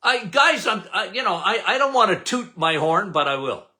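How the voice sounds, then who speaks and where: An older man from Canada hosting a podcast, loud and emphatic, with a habit of repeating "okay?". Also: sits high, very animated, normal speed. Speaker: podcast host. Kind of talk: casual live talk.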